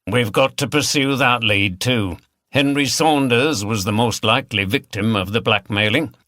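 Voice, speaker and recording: Man as a brisk, commanding senior detective. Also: mid-range voice, bright, forceful tone, no echo, studio quality, close to an everyday voice. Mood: decisive. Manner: decisive, commanding. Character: brisk, authoritative senior male police detective giving orders. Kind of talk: audiobook character dialogue.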